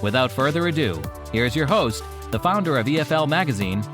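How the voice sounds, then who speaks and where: A man announces a podcast intro in a lively, upbeat voice that swings widely in pitch. Music plays clearly behind him. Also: a little fast. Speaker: show announcer. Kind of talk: scripted promo intro.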